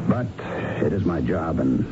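An actor playing a dogged, measured police investigator in a 1970s radio drama, deliberate, probing and formally polite as he questions and narrates. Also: extremely low pitch, sing-song, noisy background, exaggerated voice. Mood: tactful, probing. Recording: dull lo-fi radio broadcast, music behind.

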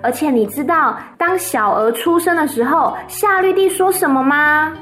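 A woman's voice: high voice, moderate pace, faint music behind, voice pushed up. Woman doing a chirpy little farm girl. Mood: eager. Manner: bright, eager, matter-of-fact.

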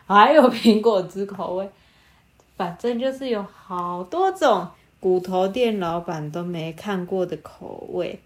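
A woman improvising a bedtime story with a child, animated and prompting with questions. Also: mid-range voice, unhurried. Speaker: storyteller, podcast host.

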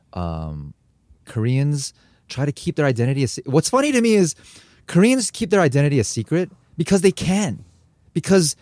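Man, young adult, from America, animated storyteller, expressive pitch, dry close sound. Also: no room sound. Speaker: podcast co-host. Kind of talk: casual chat.

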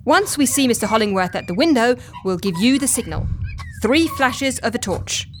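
An actress in a comedy audio drama playing an exasperated, organized woman agent, with an animated, instructive manner. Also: on the high side, moderate pace. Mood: brisk, commanding.

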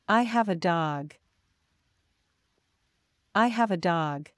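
A woman reading simple example sentences for learners, slowly and deliberately, saying each one twice. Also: lowish voice, animated, no room sound. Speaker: English teacher.

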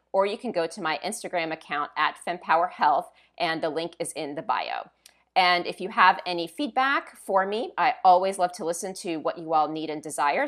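A woman hosts a podcast, moving from a scripted opening to lively, upbeat questions for her guest. Her voice sits a little lower than most women's. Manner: upbeat and enthusiastic. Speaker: podcast host. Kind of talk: scripted intro and interview questions.

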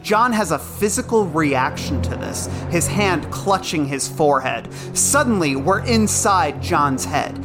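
A man's voice: a little high, expressive, unhurried, hardly any pauses. A man narrating a scripted drama, reading out dramatic scene descriptions in the present tense. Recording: music bed behind narration.

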